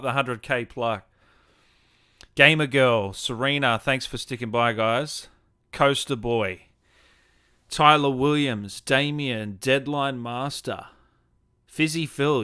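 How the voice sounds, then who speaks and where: A man's voice: mid-range voice, pushed and bright, clean tone, dry recording, clean studio sound. A man hosting a gaming show, chatting casually with effusive, matey informality. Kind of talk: casual livestream interview and chat.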